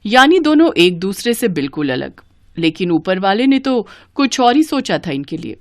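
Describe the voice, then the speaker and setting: A woman narrating a scripted Hindi family story as storyteller, with an expressive storybook delivery. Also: mid-range voice, moderate pace, dry recording, clean studio sound.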